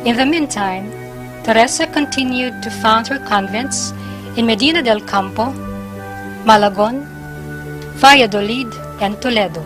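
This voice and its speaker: A woman narrating a scripted historical stage play with formal, deliberate phrasing. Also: on the high side. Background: music.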